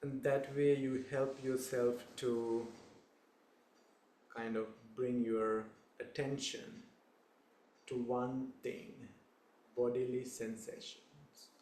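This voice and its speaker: A young male Buddhist monk from Sri Lanka guides a meditation in a calm, unhurried voice, speaking in short phrases. His pitch stays flat and even. The room sounds echoey, with a faint hum behind him.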